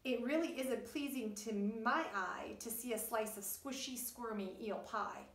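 A middle-aged woman, a preschool teacher reading aloud and explaining things to young children in an animated way. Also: fairly high pitch, measured pace. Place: indoors, wood-panelled room by a puppet stage. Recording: roomy echo, distant microphone.

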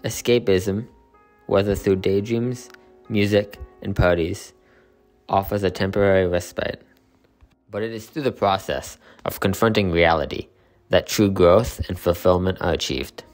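Deep male voice, video essay narration, steady, explanatory, scripted, soft music under. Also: a little slow.

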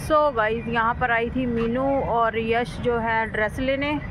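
A woman's voice: fairly high pitch, fairly even intonation, unhurried. A woman in her thirties vlogging on a phone at arm's length, walking the streets at night and teasing her friends as she talks to viewers. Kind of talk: casual walking vlog. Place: outdoors on town streets at night. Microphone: phone held at arm's length. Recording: loud street and traffic noise.

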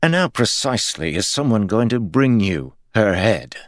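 Man voicing a skeptical, insolent guardsman, pitched up. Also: very animated, normal speed, no echo, clean studio sound. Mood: skeptical, mocking. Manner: skeptical, disrespectful. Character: insubordinate, skeptical male soldier of the guard.

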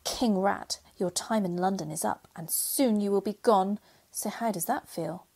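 A woman playing a bright, bossy good spirit in a radio pantomime, speaking in cheeky rhyme to the audience. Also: medium pitch, a little slow. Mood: cheery, commanding. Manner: cheeky, rhyming, addressing the audience. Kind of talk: pantomime radio play narration and acting.

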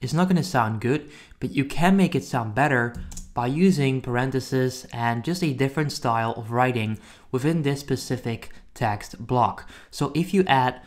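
A man hosts a relaxed software walkthrough for online viewers. His voice sits at an average pitch, and he talks informally, as if chatting to the people watching. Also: normal speed. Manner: informal, addresses viewers as guys. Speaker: software reviewer and tutorial host. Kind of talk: casual screen-recording walkthrough.

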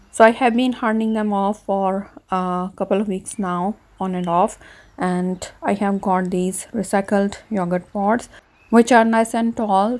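A woman host calmly explaining her gardening work, speaking outdoors on a garden patio while she transplants seedlings. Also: mid-range voice. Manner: calm, even, explanatory. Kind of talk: casual gardening tutorial narration.

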